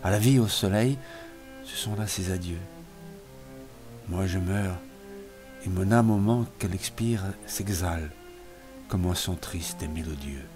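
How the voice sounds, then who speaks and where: A man reciting poetry in a solemn, expressive literary style, in measured phrases that sink softer as lines end. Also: low-pitched. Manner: measured phrases falling softer at line ends. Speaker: poetry reader. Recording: music playing behind the voice. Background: music.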